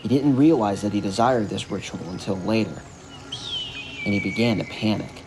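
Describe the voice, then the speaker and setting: A man's voice: lowish voice, normal speed. A man narrating a scripted story for an audio drama. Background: music.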